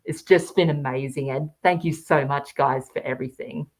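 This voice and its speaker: A woman's voice: low voice, fairly even intonation, moderate pace, a little mellow. A woman chatting casually as a podcast guest, gushing and effusive in the way they talk. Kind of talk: casual chat.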